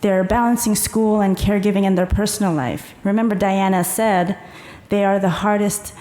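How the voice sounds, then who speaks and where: Woman conference presenter, calm and guiding, mid-pitched voice, reverberant hall. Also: moderate pace. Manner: calm, guiding, leads audience through exercises. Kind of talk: conference talk.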